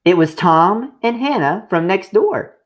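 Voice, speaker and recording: A man reading a children's story aloud in a deliberate, expressive narrating style. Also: high-pitched. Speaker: storybook reader.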